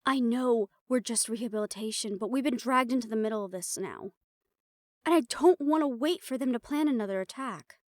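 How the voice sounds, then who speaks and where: A woman's voice: a little high, a little fast, no echo, clean studio sound, close to an everyday voice. An actress playing a determined, rule-bending woman hunter in a fantasy audio roleplay, speaking insistently and persuasively. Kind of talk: scripted audio roleplay drama. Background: background music.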